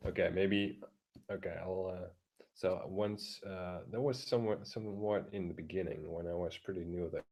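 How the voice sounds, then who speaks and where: A man with a very low, deep voice holds his volume down so the neighbors won't hear through the walls. His pitch stays flat and monotone while he rants crudely and bluntly, swearing freely. He stumbles along with lots of fillers and restarts. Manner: keeps his voice down, talking quietly; halting, many fillers and restarts. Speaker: vlogger. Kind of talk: casual profane rant.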